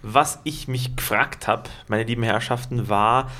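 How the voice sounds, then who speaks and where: A man's voice: medium pitch, fairly even intonation, unhurried, sharp tone. Jokey podcast co-host guy, playful, casual banter. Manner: playful, jokey, laughs along. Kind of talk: casual chat.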